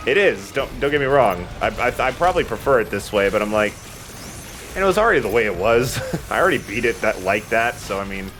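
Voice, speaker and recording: A man's voice: lowish voice, very animated, a little fast, fairly bright tone, slight background noise. A man giving casual, joking commentary as he plays and hosts a let's play. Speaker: let's play host and player. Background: video game music and combat sounds.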